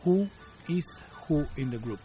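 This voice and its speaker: A man in his fifties from Bulgaria, speaking English with a Bulgarian accent as a martial arts teacher being interviewed, pausing often to search for words. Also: a little high, lively intonation, warm tone, faint music behind. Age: 50s. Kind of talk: interview storytelling.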